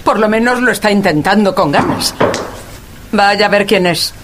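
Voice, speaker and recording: A woman's voice: mid-range voice, moderate pace, noisy background, natural voice. An actress playing an imperious, impatient aristocratic lady in a radio drama. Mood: scolding. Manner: imperious, haughty. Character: haughty, demanding aristocratic lady, impatient with servants.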